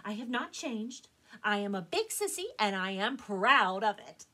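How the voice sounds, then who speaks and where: Storybook casting: woman playing a kind, loyal young drake, earnest and steady, slightly husky. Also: on the low side, normal speed, full character voice. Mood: proud, firm. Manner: calm, reassuring, earnest. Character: gentle, devoted young male duck, proudly sensitive.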